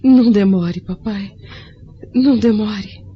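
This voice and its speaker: A woman's voice: mid-range voice, takes it slowly, natural voice. A woman playing a frail, sorrowful blind woman near forty, earnest and plaintive, in a radio drama. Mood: anxious, pleading. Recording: dull lo-fi radio drama with music.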